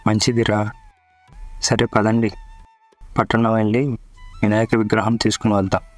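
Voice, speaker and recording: A man's voice: lowish voice, little pitch movement, moderate pace, dry recording, faint music behind, natural voice. A man playing a sensible, traditional-minded village uncle in a cartoon story, firm and respectful. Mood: pleased. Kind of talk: Telugu cartoon story dialogue.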